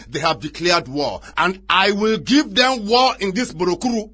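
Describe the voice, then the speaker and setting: An actor playing a proud, vengeful community chief with a Nigerian accent, confrontational and sarcastic in a radio drama. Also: male voice, high voice, animated, a little slow, sharp tone, exaggerated voice.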